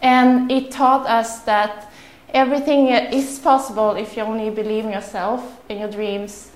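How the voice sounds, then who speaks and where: A young Swedish-accented woman speaking to a room of listeners, chatty and a little nervous, laughing at herself often. Her voice sits fairly high. The sound carries noticeable echo from the room. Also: flat delivery, a little slow. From Sweden. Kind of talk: nervous live talk to a small audience. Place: room with audience.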